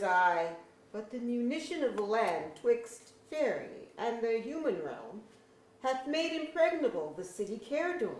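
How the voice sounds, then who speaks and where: A woman's voice: fairly high pitch, darkish tone. A woman playing a grave, mournful elf councillor, declaiming archaic verse at a staged reading. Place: museum auditorium. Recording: echoey auditorium recording.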